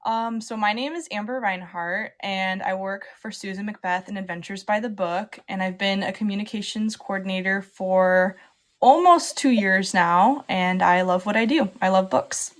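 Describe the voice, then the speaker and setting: A young woman speaking on a video call, modest and soft-spoken, with a mid-range voice. She chats plainly about herself and her work. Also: mid-range voice, normal speed. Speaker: program producer. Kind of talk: casual chat on a video call.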